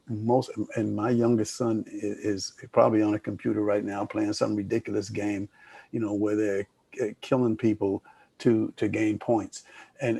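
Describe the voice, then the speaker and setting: Gravelly older man, dark husky tone. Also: fairly low pitch, dry recording. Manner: rambling, with many restarts and fillers. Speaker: moderator. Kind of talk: panel discussion.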